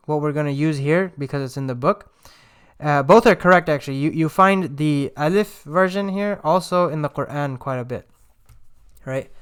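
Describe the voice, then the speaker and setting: A man's voice: a little high, smooth. A young man teaching Arabic phrases from a textbook, patient and explanatory, often checking in with "right?" and "okay?".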